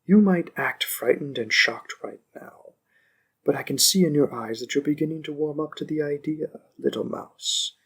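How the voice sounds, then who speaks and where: A man playing a smug, possessive young mafia boss in an audio roleplay, speaking deliberately with condescending politeness and calm menace. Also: on the high side, vocal fry, breathy edge, exaggerated voice, poor audio. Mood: calculating, smug. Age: young adult. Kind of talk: scripted audio roleplay addressed to one listener.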